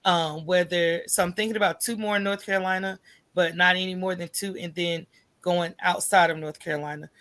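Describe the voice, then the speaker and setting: A woman's voice: lowish voice, a little fast. A middle-aged American woman talking casually as an interview guest, acting out little asides and often checking in with "right?". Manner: animated storytelling, acts out quoted thoughts, often tags phrases with "right?". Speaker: interview guest, coffee shop owner.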